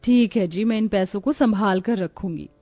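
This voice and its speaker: A woman voicing a gentle, worrying mother from a poor village in a cartoon story. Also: mid-range voice, moderate pace, soft background music, lightly acted. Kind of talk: Hindi cartoon dialogue.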